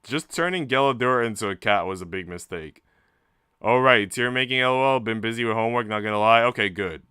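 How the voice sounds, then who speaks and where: A man's voice: medium pitch, brisk, bright, forceful tone, smooth, dry recording, clean studio sound. A man streaming, reading a visual novel aloud and chatting casually with his audience. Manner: informal, slangy when chatting.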